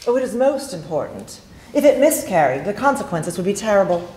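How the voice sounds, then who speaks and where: A woman's voice: medium pitch, big pitch swings, a little fast, warm tone, natural voice. A woman playing a mysterious, flirtatious lady in a period stage play, coaxing and teasing with theatrical delivery. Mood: gracious, probing. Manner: coy, teasing, dramatic delivery. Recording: echoey stage hall, hissy background noise.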